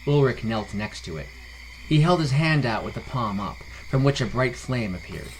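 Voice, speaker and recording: A man's voice: medium pitch, lively intonation, normal speed, slight background noise, rough recording. A man narrating a scripted fantasy story as a podcast storyteller.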